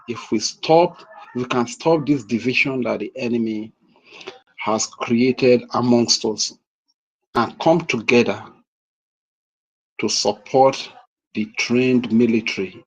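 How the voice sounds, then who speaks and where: Male political activist, Nigerian accent, mid-pitched voice; deliberate, emphatic delivery built on repetition and rhetorical questions. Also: husky, muffled recording. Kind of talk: impassioned political address.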